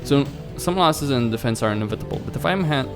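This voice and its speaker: A man narrates a visual novel as a let's play host. His pitch sits in an ordinary male range. He reads aloud with occasional stumbles, and music plays clearly behind him. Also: expressive, fast talker. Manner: stumbles over words while reading. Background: background music.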